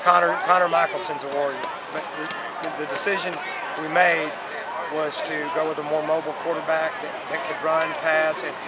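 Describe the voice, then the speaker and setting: A man's voice: on the high side, level tone, fairly quick. A middle-aged American man, a football coach, talking earnestly in a postgame interview and often restarting his phrases. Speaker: high school football coach. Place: outdoors at night by a stadium building. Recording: crowd chatter and loud outdoor field noise.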